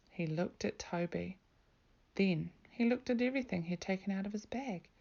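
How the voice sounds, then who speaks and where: A woman reading a children's storybook aloud as a teacher, with expressive narration. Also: medium pitch, gravelly, highs cut off.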